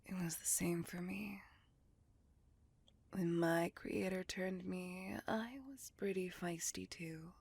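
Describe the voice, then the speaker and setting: A woman voicing a centuries-old female vampire in an intimate ASMR roleplay, possessive, doting and teasing, in a soft, breathy, coaxing whisper. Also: on the low side, steady tone, slow, dry recording, heavily acted. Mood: coaxing, tender.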